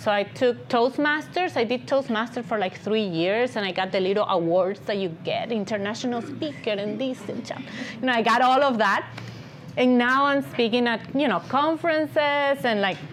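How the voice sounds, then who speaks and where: A woman with a foreign accent, the encouraging guest speaker at a business event, chatting to the room over slight background noise. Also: fairly high pitch. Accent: non-native English accent. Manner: animated encouragement, often checks in with "right?". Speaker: guest speaker, agency founder and CEO. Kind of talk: conversational motivational business talk.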